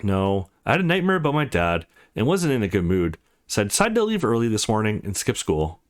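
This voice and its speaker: A man's voice: fairly low pitch, wildly swooping pitch, brisk. A man voicing an earnest, troubled teenage boy in a visual novel, serious and insistent as he explains himself. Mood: defensive. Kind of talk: visual novel character reading.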